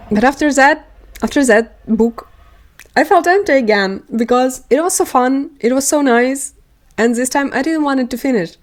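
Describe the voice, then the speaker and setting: Woman, non-native English speaker, book vlogger, loose and chatty. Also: high voice. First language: not English. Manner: chatty, rambling, laughs often. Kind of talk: casual chat.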